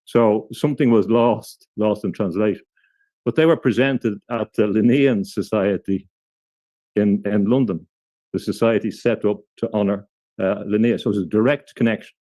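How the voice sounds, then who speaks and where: A man giving thoughtful, halting explanations as an interview guest, often restarting his sentences. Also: on the low side, mellow, dry recording.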